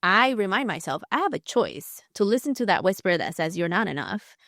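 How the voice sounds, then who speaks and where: A woman's voice: medium pitch, big pitch swings, fairly quick, fairly bright tone, no room sound, studio quality. A woman in her thirties, a podcast guest, talking candidly and reflectively, full of "like" fillers. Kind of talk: conversational interview.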